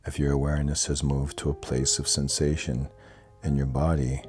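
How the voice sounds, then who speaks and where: A man leading a guided yoga nidra relaxation in a calm, soothing, measured manner. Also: a bass voice. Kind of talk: guided meditation. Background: soft plucked guitar music.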